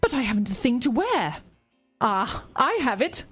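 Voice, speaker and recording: A woman's voice: a little high, big pitch swings, moderate pace, breathy edge, faint music behind. A woman playing a timid yet eager aristocratic lady ghost in a genteel, theatrical radio drama style.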